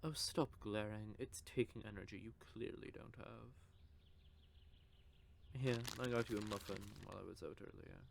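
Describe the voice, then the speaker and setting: A man's voice: on the low side, moderate pace, creaky, slight background noise. A man voicing a teasing, possessive male vampire in an audio roleplay, with a smug, drawling manner. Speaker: voice actor.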